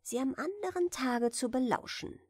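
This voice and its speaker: A woman reading a fairy tale softly and soothingly as a bedtime audiobook narrator, in a measured way. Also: high voice, lively intonation, breathy edge, dry recording, studio quality. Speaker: audiobook reader of fairy tales.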